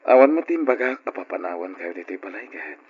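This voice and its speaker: A man playing a kindly adult uncle in an old radio drama, speaking gently and earnestly with an Ilocano accent. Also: low voice, big pitch swings, quick pace, husky, heavily acted. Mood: reassuring. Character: Uncle Gilbert, kindly adult son and guardian of orphans. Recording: dull lo-fi radio recording with music.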